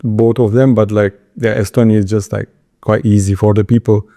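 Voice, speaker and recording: A man with a Turkish accent giving informal interview answers, halting and restarting his words as he goes. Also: lowish voice, little pitch movement, mellow. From Istanbul, Turkey. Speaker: interview guest, entrepreneur.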